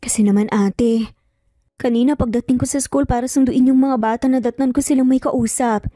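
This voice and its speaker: A woman's voice: high-pitched, steady tone, normal speed, warm tone, dry recording, studio quality, lightly acted. A woman voicing a guarded, defiant young mother in a romance story, firm and emphatic, over music. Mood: troubled. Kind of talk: Tagalog romance drama storytelling. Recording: music bed under the voice.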